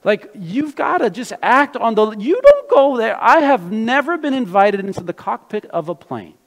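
A man's voice: very high voice, sing-song, moderate pace. Preacher man in a reverberant hall. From Brazil, raised in the United States. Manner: storytelling, rises to loud emphatic preaching. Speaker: pastor. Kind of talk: sermon.

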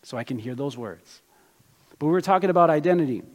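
A man preaching a sermon as pastor, born in Brazil and raised in the US, telling personal stories in an animated way. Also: a little high, fast. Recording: long hall reverb.

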